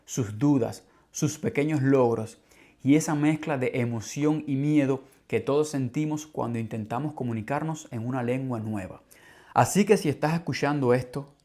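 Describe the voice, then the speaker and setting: A man with a Cuban Spanish accent, a language teacher hosting a podcast for learners in a calm, clear, measured scripted narration. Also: mid-range voice. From Cuba.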